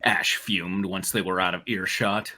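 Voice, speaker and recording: A man's voice: low voice, fairly even intonation, a little slow, sharp tone. A man narrating a story as a scripted reading, with casual comic asides.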